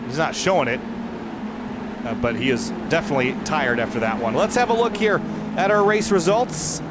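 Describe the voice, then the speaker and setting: A man hosting a sim racing broadcast, running through race results in a steady, conversational way before interviewing drivers. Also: a little high, normal speed, very noisy.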